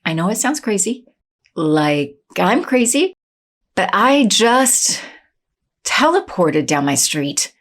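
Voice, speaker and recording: A woman's voice: mid-range voice, big pitch swings, slow pace. An actress playing a fearful, shaken woman, narrating and acting unsteadily in an audio drama. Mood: pleading. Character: fearful, shaken wife and schoolteacher.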